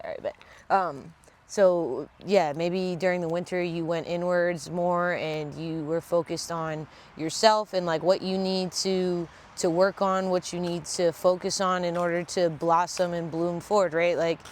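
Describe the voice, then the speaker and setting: Casual tarot vlogger, congested, mid pitch, laid-back, swears freely, faint hum of noise behind. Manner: casual, blunt and profane. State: congested from a sinus infection. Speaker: tarot reader. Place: at home.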